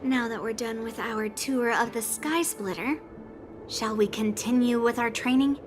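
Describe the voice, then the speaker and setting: A woman's voice: a little high, steady tone, a little slow, music in the background, lightly acted. A woman playing a blunt, proud young swordswoman in a video game. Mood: challenging.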